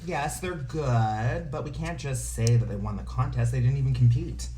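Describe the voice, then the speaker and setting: Mellow male voice, fussy, sardonic co-worker, acted by a man, comedy script read, faint background noise. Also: mid-range voice, normal speed, close to an everyday voice. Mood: sardonic, objecting. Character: Carrington, fussy, sardonic radio station co-worker. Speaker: actor in a script table read. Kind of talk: musical comedy table read.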